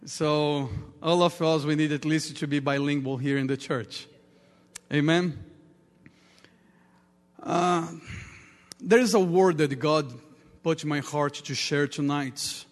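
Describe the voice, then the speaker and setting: High-voiced Portuguese-accented male preacher. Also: fairly even intonation. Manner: earnest, halting non-native English with repetitions. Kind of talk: sermon. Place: large reverberant church room. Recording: reverberant hall with long echo.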